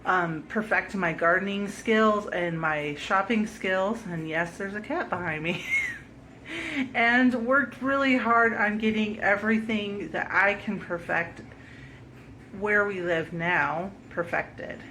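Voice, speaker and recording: A woman's voice: mid-range voice, takes it slowly, bright, forceful tone, slight background noise, rough recording. A woman vlogger with a stuffed-up head cold, chatting casually at home through a grocery haul and often correcting herself with ums. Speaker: homesteading vlogger.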